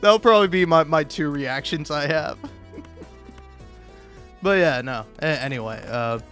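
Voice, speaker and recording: A man's voice: a little high, a little slow, a bit bright, faint music behind. A man doing casual, rambling YouTube gaming commentary, stammering and restarting often, with profane, self-deprecating talk.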